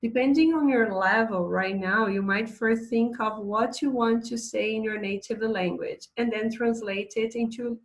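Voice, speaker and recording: A woman's voice: on the high side, low-quality recording. Woman teaching English. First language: Portuguese. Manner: chatty, reading slides aloud with asides. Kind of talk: online English lesson.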